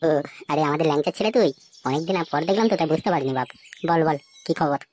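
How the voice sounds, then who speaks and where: A man's voice: fairly high pitch, racing, a little mellow, slight background noise, close to an everyday voice. A man voicing a short-tempered, scolding village father with a crude manner in a comic cartoon. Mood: curious, surprised.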